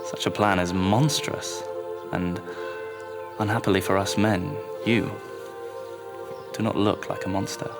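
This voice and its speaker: A gallant period-drama gentleman in a fairly low voice. He is formal and courteous, wary but smitten, choosing his phrases carefully over clearly audible music. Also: fairly even intonation, a little slow. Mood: indignant, charmed.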